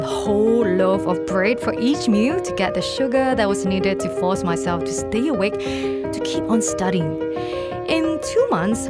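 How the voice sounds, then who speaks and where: A young woman reads her own story as a podcast host, measured and reflective, at an ordinary middle pitch. Clear background music plays beneath her voice throughout. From Malaysia. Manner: measured, reflective, reading from a script. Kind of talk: personal story read aloud. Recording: voice with music playing underneath.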